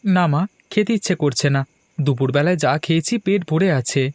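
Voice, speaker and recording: A woman's voice: low voice, a little fast, darkish tone, no room sound, natural voice. A woman voicing a curious, brooding village boy in a fairy tale cartoon. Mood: pensive. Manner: questioning, wondering. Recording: music under the voice.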